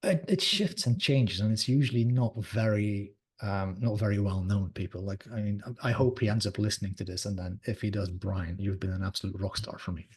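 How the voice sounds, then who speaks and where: A man in his forties, a technology advisor chatting casually in an interview, relaxed with lots of fillers. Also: lowish voice, fairly quick, hardly any pauses, slightly rough. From Belgium.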